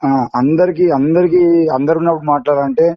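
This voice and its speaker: A man's voice: fairly high pitch, steady tone, fast talker, dry recording. A man cutting into a phone argument, insisting on being heard. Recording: dry muffled phone call.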